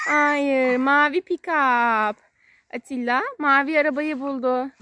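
A woman with a high voice talks to a small child during play. She goes slowly, repeating simple phrases and questions in a playful sing-song way. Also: no room sound. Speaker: parent playing with a young child on a kids' channel. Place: outdoors at a sand and gravel pile.

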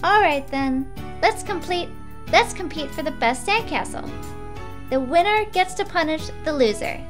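A woman voicing a cheerful, energetic, childlike girl from a visual novel, bubbly in manner. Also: high voice, unhurried, over music. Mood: excited, eager. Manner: bubbly, speaks of herself in third person.

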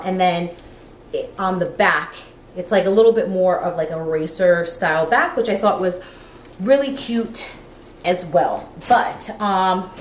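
A woman in her thirties chatting casually to camera as a lifestyle vlogger, informal and peppered with "like", recorded in a living room. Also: mid-range voice. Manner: chatty, informal, frequent "like" as filler. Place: living room of an apartment, indoors. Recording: roomy echo, clear background noise.

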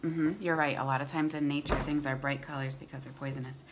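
A woman's voice: extremely low pitch, fairly even intonation, quick pace, noisy background. A woman, reading aloud to children as a teacher and chatting casually between passages. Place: room at home.

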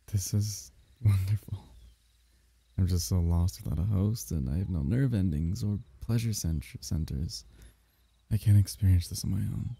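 A man voicing a lonely, doting alien symbiote in an intimate, soothing ASMR roleplay, coaxing its host with a low, gravelly tone. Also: warm tone, dry recording, heavily acted. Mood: tender longing.

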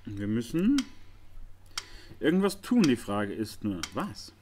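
Lively-pitched man casually commenting on gameplay. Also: slow, a little hiss or hum. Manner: informal, thinking aloud. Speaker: let's play host.

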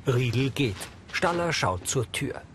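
A man's voice: medium pitch, big pitch swings, unhurried, very noisy. A man reading scripted audio description narration for a television crime series.

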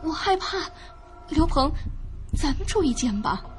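A scared young woman, acted by a woman in a radio drama, complaining in a high voice. Her intonation swings widely, with music playing behind her. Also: sing-song, unhurried. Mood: anxious, complaining. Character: frightened, complaining young woman stranded on a trip.

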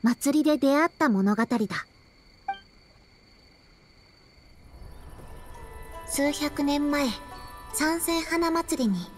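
A dignified youkai storyteller in a video game. The voice sits fairly high and speaks earnestly in old-fashioned, formal phrases. Faint music plays underneath. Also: female voice, animated, measured pace. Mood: solemn storytelling.

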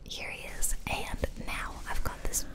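A woman whispering casual, playful game commentary in a breathy ASMR style, close to the microphone. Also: unhurried. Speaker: gaming video host. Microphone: close ear-to-ear ASMR microphone.

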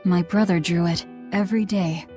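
The brooding young fighter in a fantasy game party a woman acting, shutting down sympathy in a low, curt voice. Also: fairly even intonation, moderate pace, mellow, faint music behind, natural voice. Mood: subdued, wistful. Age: young adult. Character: bitter, guarded young swordswoman, brusque and hardened.

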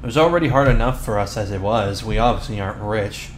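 A man playing a flustered, defensive teenage bully with a crush in an ASMR boyfriend roleplay, talking in a halting, sheepish, slangy way. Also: fairly low pitch, lightly acted. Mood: weary, confessional. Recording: roomy echo, clear background noise.

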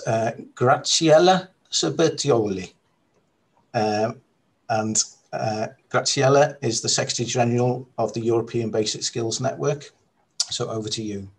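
A man's voice: medium pitch, creaky, slightly rough. A man chairing a conference over a video call from an office, hesitant and full of fillers. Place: indoor office.

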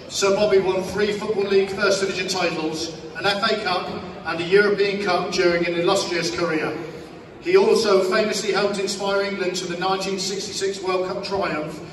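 A man's voice: high voice, a bit bright. Distant echoing stadium announcer, man. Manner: formal, measured delivery. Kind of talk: public address announcement. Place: football stadium. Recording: echoey stadium PA heard from far off. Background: crowd noise.

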